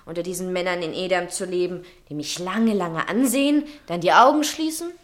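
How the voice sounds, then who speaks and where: A woman's voice: fairly low pitch, brisk, lightly acted. An actress playing a vain, dreamy and callous young woman in a 1960s German radio play, with theatrical, musing phrasing. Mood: restless, scornful. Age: young adult. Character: vain, dreamy, callous young innkeeper's daughter longing for luxury.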